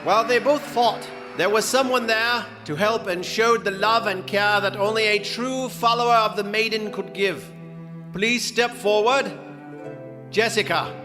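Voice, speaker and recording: High-voiced, echoing, ceremonious duke. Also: male voice, a little slow. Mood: proclaiming. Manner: formal, declamatory. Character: proud, ceremonious fantasy duke addressing his citizens. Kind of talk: audio drama acting. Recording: long hall reverb over music.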